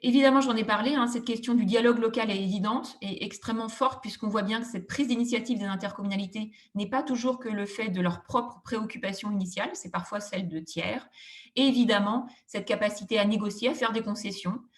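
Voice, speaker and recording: A woman giving a formal, explanatory webinar presentation as a consultant, analytical and wordy, with very frequent filled pauses and repeated words. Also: mid-range voice. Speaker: housing policy consultant presenting a study.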